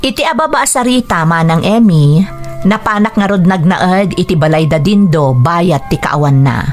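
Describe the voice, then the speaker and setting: An actress with an Ilocano accent playing a gentle, anxious young fiancee in a Philippine radio drama. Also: female voice, lowish voice, animated, moderate pace, over music, close to an everyday voice. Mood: reflective, troubled. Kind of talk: Philippine radio drama acting and first-person narration.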